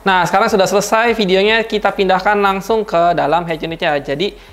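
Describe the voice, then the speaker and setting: A woman's voice: medium pitch, fairly even intonation, brisk. A woman giving a chatty, slangy product review, talking straight to her viewers. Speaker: car audio reviewer.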